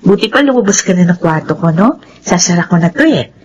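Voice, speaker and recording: An actress playing a breezy, evasive young older sister in a Filipino radio drama, with a casual, teasing manner. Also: female voice, on the low side, lively intonation, brisk, a little mellow, lo-fi sound, slight background noise, lightly acted. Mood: impatient.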